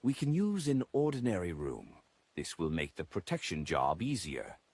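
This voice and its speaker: Man as a hesitant, husky chubby apothecary. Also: deep voice, huge pitch swings, moderate pace, a little mellow, exaggerated voice. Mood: uneasy, bargaining. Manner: hesitant, unsure. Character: chubby male apothecary, anxious, stingy, hesitant.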